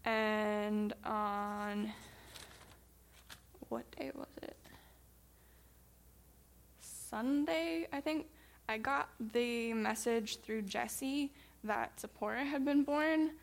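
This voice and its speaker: A woman's voice: on the high side, drawn-out words, gravelly, long pauses. A young woman from the United States casually telling stories from a church trip, with frequent ums and small laughs as she talks. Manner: chatty, many ums, laughs while talking. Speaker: missionary reporting back. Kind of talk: casual storytelling presentation.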